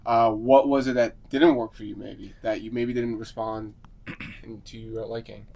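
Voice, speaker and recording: A man hosting a casual podcast chat, prompting his co-hosts with brisk questions. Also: on the low side, little pitch movement, gravelly, a little mellow. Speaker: podcast host asking questions.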